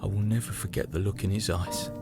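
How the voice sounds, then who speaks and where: Man acting a low-voiced, haunted young British soldier. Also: steady tone, fairly quick. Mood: somber, weary. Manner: somber, reflective, dramatic. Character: young, weary British soldier in 1917 trenches. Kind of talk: first-person audio drama diary narration. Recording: music behind the voice. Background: dramatic music.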